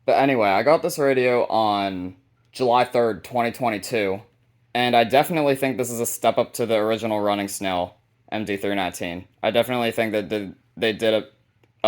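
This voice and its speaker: A man hosting a casual, unscripted hobby video showcase, talking informally and a bit haltingly. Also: on the low side. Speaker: hobbyist video host showing a radio collection.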